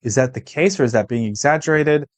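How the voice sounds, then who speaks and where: A man's voice: medium pitch, quick pace, no echo. A man from Israel hosting a political discussion, speaking emphatically and repeating phrases for weight. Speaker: podcast host interviewing a guest.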